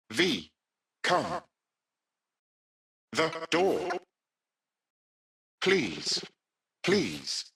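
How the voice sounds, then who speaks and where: A man voicing a damaged, virus-stricken artificial intelligence in a video game, raspy, halting and pleading in fragments. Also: fairly high pitch, sharp tone, full character voice. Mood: weak, pleading. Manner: halting, fragmented words and short phrases.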